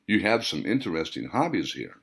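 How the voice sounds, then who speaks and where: A gentle, tender woman from a romantic audiobook, as a male narrator reads her. The voice sits low and speaks softly, with lively rises and falls. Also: a little fast, heavily acted. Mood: mild, curious. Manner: soft, whispering, tender. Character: gentle, soft-spoken woman, a new romantic partner. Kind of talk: audiobook character dialogue.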